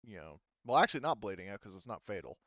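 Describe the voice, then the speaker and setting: A man's voice: on the low side, very animated, fast, sharp tone, no echo, lo-fi sound. A man running a tabletop game as game master, explaining rules and thinking aloud in a casual way.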